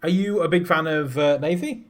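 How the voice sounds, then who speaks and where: A man's voice: on the high side, normal speed. A man giving casual, self-deprecating live commentary to his viewers while playing a space game. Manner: chats to viewers while piloting. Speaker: streamer.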